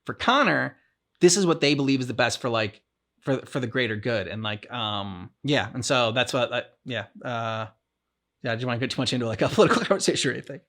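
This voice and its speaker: A man's voice: medium pitch, animated, fast talker, a bit bright. A man co-hosting a game reaction show, chatting casually, rambling and often repeating and restarting his phrases. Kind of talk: casual discussion of a game's story.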